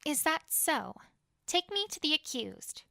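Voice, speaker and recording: A woman voicing a cruel, commanding pony queen with a quick temper in a fan fiction audio drama. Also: high voice, big pitch swings, creaky, sharp tone, no room sound, clean studio sound, voice pushed up, exaggerated voice. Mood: cold, commanding. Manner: commanding, scornful.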